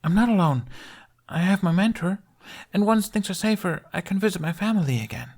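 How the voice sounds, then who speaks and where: A sleepy little boy with a high, eager voice that rises and falls a lot, as a male reader puts him on. He sounds brave and drowsy, a storybook child. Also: animated, fairly quick, darkish tone. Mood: earnest.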